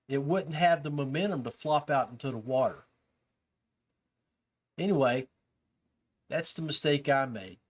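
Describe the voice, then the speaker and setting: Higher-voiced man hosting a casual podcast. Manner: informal, addresses listeners as guys and peeps. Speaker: podcast host. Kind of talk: casual podcast talk to listeners.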